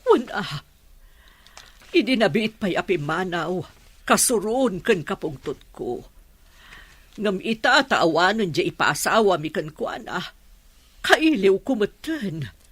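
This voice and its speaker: A woman's voice: mid-range voice, very animated, slow pace, pauses often, slightly rough, no room sound, heavily acted. A woman with an Ilocano accent playing a fretful, worried mother and madam in a Filipino radio drama. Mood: upset.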